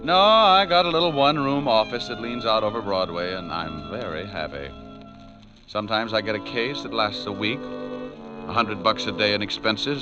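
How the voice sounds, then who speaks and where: Guy acting a wisecracking american private eye, lowish voice, deadpan, old muffled radio show with music. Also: natural voice. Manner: breezy, deadpan, sardonic. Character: wisecracking, money-loving New York private detective. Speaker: lead actor and narrator. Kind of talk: 1940s radio noir acting.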